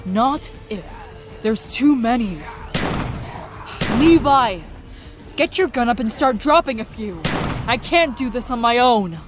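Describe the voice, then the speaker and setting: Girl acting an excitable survivor lady in a zombie radio play, slow-ish and dramatic, really animated. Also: on the high side, over music. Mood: anxious, urgent. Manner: emotional, exclamatory. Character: excitable, desperate female survivor fighting zombies. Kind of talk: fan audio drama acting.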